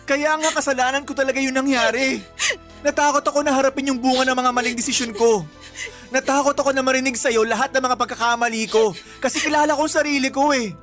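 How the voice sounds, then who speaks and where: A pleading, guilty Filipino husband. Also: male voice, very high voice, fairly even intonation, fast talker, a bit bright. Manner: pleading, self-blaming. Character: guilty, pleading, defensive young husband and father. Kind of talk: Filipino radio drama acting. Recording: music bed behind the voice.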